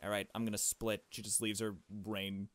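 A man with a fairly low voice gives casual commentary on a game. He reads along and drops in irreverent, joking asides in a loose conversational way. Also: little pitch movement, moderate pace, no echo. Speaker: let's-play host. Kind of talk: casual gameplay commentary with read-aloud dialogue.

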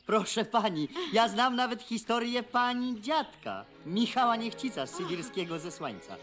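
An effusive young gentleman. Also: sits high, moderate pace, soft background music. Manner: polite, effusive, animated. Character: courteous eager young male Polish gentleman. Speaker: landowner's son. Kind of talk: 1970s television period drama acting.

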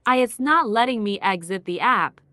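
A woman's voice: medium pitch, expressive, normal speed, very noisy, lightly acted. A woman playing an irritated, sarcastic young gamer who talks in blunt, exasperated slang. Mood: annoyed, incredulous. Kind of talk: scripted casual gamer dialogue.

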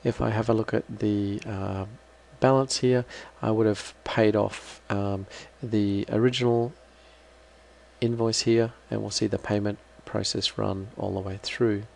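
A man giving an unscripted, halting step-by-step software walkthrough as a trainer. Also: lowish voice, steady tone, creaky. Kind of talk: screen-recorded software walkthrough.

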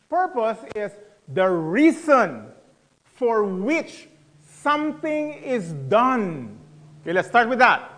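A man's voice: extremely high pitch, fairly bright tone. A middle-aged man teaching a Bible study in a conversational, emphatic way, asking the audience questions. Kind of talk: sermon.

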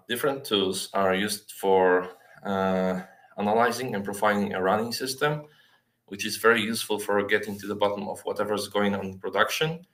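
Man, lowish pitch, technical presenter, hesitant delivery, many filled pauses, echoey room sound. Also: sharp tone. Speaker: software developer giving a talk. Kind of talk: technical conference presentation over slides. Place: small plain indoor room, on a video call. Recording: roomy, echoey webcam sound.

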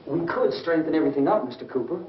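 A man's voice: fairly high pitch, lively intonation, quick pace, slight background noise, natural voice. An actor playing an earnest, reasonable young American man in an old horror film, a polite, pleading peacemaker. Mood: conciliatory. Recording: echoey, dull old film soundtrack, distant.

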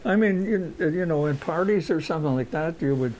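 A man of eighty-seven recalling his youth in a halting way, with many fillers and a dry humor. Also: on the high side, animated, darkish tone, slight background noise. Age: 80s. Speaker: interviewee. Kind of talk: oral history recollection.

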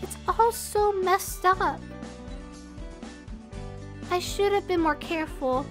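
A woman voicing a gentle, trusting young female school leader in an anime-style game dub. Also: extremely high pitch, takes it slowly, faint music behind. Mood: hurt, regretful.

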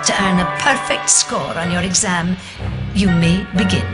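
Video game role: brisk, dry-witted older schoolmistress witch, played by a woman, over prominent music. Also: fairly low pitch, natural voice. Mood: formal.

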